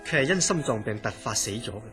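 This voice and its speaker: A man's voice: on the low side, animated, fast, pushed and bright, soft background music. A man playing a grave, authoritative hospital director in a Cantonese radio horror drama, with a Hong Kong accent. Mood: grave, measured.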